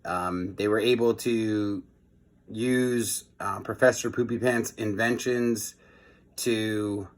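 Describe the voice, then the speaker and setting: A man hosting a children's read-aloud, moving between expressive storybook reading and informal, hesitant asides. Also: fairly low pitch, slow.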